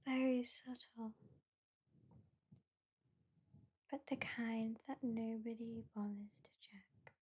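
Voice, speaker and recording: A woman with a British accent playing an aloof, sardonic vampire hypnotist in a soft, whispery, deliberate ASMR roleplay. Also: on the high side, fairly even intonation, husky. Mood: calm, proud. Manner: slow, deliberate, lightly dismissive.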